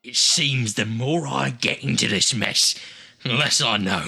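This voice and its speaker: A man's voice: mid-range voice, unhurried, creaky, bright, forceful tone, slightly rough, soft background music, heavily acted. A man playing a wry, hardboiled private detective in a noir radio-play spoof. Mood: wary, defiant.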